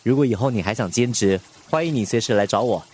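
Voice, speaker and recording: A man acting a kindly middle-aged manager who gives gentle, fatherly advice. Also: medium pitch, fast talker, a little hiss or hum, close to an everyday voice.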